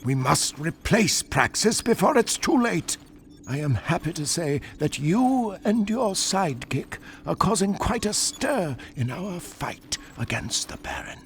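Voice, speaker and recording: High, creaky old sage. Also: male voice, sing-song, measured pace, a little hiss or hum, full character voice. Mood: grave. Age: older. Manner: solemn, formal, theatrical. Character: aged bewhiskered male sage, grave and learned. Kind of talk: video game voice acting.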